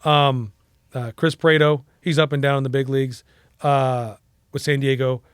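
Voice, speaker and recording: A man's voice: medium pitch, little pitch movement, normal speed, clear, resonant tone, dry recording. Rambling Mexican-American man, dry sound. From United States. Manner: rambling with fillers and restarts. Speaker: podcast guest. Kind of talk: casual storytelling interview.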